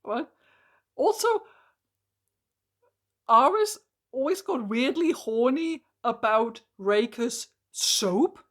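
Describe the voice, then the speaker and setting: A hoarse woman reviewing a book in an exasperated, emphatic rant, full of "like" and restarts. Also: a little high, animated, slow pace, pauses often, a bit bright.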